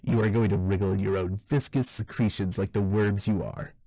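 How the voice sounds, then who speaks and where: A man voicing a foul-mouthed, sneering textbook author in a cartoon fan dub, husky and wordy, weary of his readers. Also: on the low side, steady tone, brisk, dark tone, dry recording. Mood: contemptuous, weary. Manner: scornful, verbose. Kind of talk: fan dub character narration.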